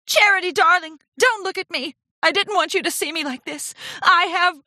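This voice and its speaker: A woman's voice: very high voice, slow, sharp tone, no room sound, clean studio sound, pitched above the performer's own voice, full character voice. A woman voicing a vain, theatrical fashionista pony for a children's storybook, with refined, affected and easily wounded airs. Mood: despairing, dramatic.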